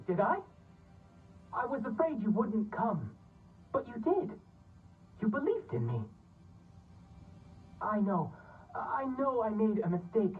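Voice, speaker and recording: A man's voice: extremely high pitch, breathy edge, heavily acted. A man playing a nervous, guilt-ridden tech ally in a video game, heard through a radio-like filter. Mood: anxious, apologetic. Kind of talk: video game cutscene acting. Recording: thin phone-like voice, distant, music behind.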